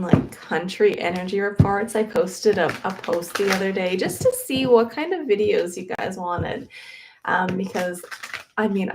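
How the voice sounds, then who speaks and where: Soft-voiced woman chatting on a livestream. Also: mid-range voice, a little hiss or hum. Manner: rambling, with many "um"s and "like"s and self-corrections. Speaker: tarot reader hosting a livestream. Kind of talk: casual livestream chat. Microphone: new microphone close to her.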